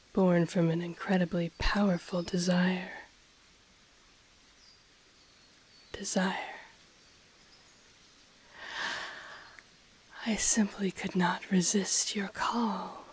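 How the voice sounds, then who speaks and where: A woman voicing an ancient, powerful dragon in a fantasy audio roleplay, haughty and intrigued, speaking formally in a breathy half-whisper. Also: fairly low pitch, a little mellow. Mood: regal, intrigued. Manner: slow, formal, deliberate, haughty. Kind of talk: scripted audio roleplay, intimate monologue to listener.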